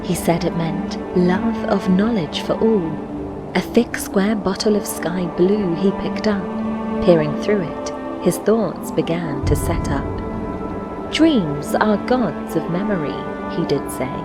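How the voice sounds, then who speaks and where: Dreamy female adventurer, very slow pace, lowish pitch, curious, poetic fantasy game narration, clear music behind. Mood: reverent, mysterious. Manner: slow, measured storybook recitation. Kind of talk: scripted poetic narration in rhyming verse. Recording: music under the voice.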